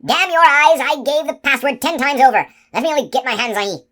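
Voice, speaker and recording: A woman voicing a gruff, bumbling giant outlaw man who speaks loudly in old-fashioned country speech, for an audiobook. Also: mid-range voice, expressive, slow pace, pitched above the performer's own voice. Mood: furious.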